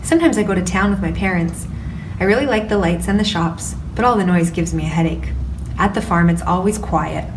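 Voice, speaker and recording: A woman's voice: fairly low pitch, brisk, close to an everyday voice. Woman reader as a cheerful young farm girl; storybook, distant mic, noisy. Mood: chirpy. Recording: distant mic with background noise.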